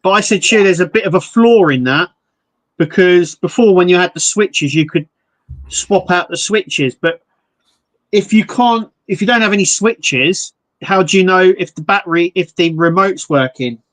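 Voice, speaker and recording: A man co-hosting a live stream, chatting in a relaxed, jokey way about model building. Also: sits high, moderate pace. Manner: relaxed, jokey, explains hobby builds with restarts. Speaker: livestream co-host, model builder. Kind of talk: casual chat.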